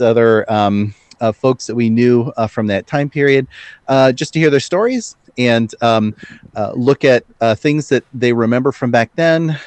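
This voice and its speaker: A man of about forty hosts a podcast in a mid-range voice, recorded dry and close. He talks casually and haltingly, filling gaps with ums and uhs. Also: medium pitch, a little mellow, no room sound. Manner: hesitant, many ums and uhs. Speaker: podcast host.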